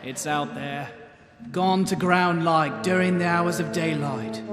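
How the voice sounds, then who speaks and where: Casting: grandiose poetic watchman, florid and brooding, live radio play with very loud music in a hall. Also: on the high side, slow pace. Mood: portentous. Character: watchman waxing poetic, dreamy and portentous. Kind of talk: live audio theatre performance.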